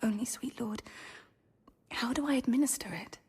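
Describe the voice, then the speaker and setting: Rebellious novice, sixteen, radio drama: husky-breathy, confiding, heavily reverberant sound. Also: female voice, fairly high pitch, normal speed, a little mellow. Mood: secretive excitement. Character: rebellious, scheming sixteen-year-old novice girl. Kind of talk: radio drama acting and inner monologue.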